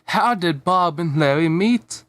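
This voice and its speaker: A man's voice: fairly high pitch, expressive, a little slow, fairly bright tone, no echo. A man reading fan fiction aloud casually, stumbling and breaking into joking, giggly asides. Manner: stumbling, giggly, mocking the text as he reads.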